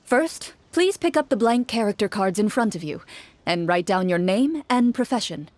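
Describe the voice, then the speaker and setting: A woman voicing a composed young game master in a video game, measured and formal as she explains rules. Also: medium pitch, lively intonation, darkish tone, a little hiss or hum, lightly acted. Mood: calm, courteous. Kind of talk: game voice acting.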